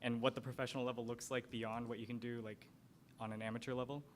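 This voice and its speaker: A man's voice: level tone, quick pace. A man moderating a panel discussion, putting questions to the speakers in a casual way. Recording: clear background noise with low rumble.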